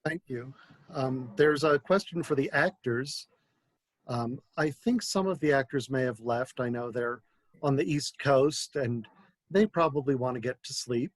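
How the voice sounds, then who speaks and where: A man moderating an online question session in a gentle, polite and halting way. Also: mid-range voice, dry recording. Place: video call webinar.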